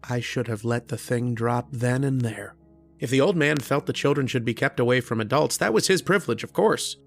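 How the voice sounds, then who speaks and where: An actor playing a lonely, erudite mathematician lodger in an old-time style radio drama, literate, earnest and introspective. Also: male voice, medium pitch, soft background music, close to an everyday voice. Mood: wistful. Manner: literate, deliberate, introspective, with hesitant starts. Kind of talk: old-time radio drama acting with first-person narration.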